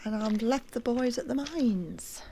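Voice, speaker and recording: Woman vlogging on a hill walk, slow talker, lots of ums, bit puffed, faint wind and outdoor hiss. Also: fairly high pitch, warm tone. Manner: chatty, hesitant, many ums. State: out of breath. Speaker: walking vlogger. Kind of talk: casual walking tour narration. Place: outdoors on a hillside.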